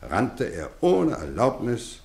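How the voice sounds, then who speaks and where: An actor playing a kindly, wry middle-aged boarding school house master in a 1950s German radio play. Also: male voice, medium pitch, wildly swooping pitch, takes it slowly, creaky, darkish tone, full character voice. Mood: reflective, earnest. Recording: roomy 1950s studio radio recording.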